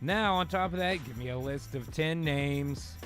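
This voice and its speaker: A man casually riffing as host of a comedy show, animated and salty-tongued as he pitches to fellow performers. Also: normal speed, soft background music. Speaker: host of an improvised table-read show.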